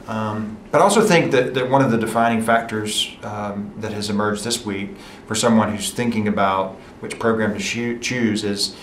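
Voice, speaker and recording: A pastor from Alabama speaking hesitantly with frequent fillers, standing in a church atrium. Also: male voice, fairly low pitch, normal speed, few pauses, reverberant, a little hiss or hum. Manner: hesitant, many ums and restarts. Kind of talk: casual group testimonial.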